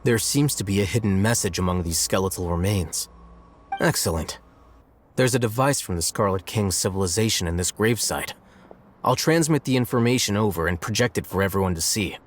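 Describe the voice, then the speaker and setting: A man's voice: on the low side, expressive, brisk, slight background noise, natural voice. A man voicing a dry, teasing scholar. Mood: calm. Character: calm, wry male scholar. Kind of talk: game cutscene dialogue.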